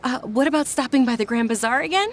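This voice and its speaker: An actress voicing a gentle, caring young noblewoman in a video game, soft and eager in her speech. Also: sits high, fast talker, slight background noise, natural voice.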